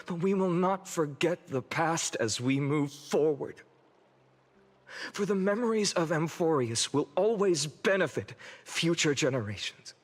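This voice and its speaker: An actor playing an earnest young heroic leader in a fantasy game, giving a solemn, measured speech to his city. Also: medium pitch, husky. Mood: solemn, tender. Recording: long hall reverb with music behind. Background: music.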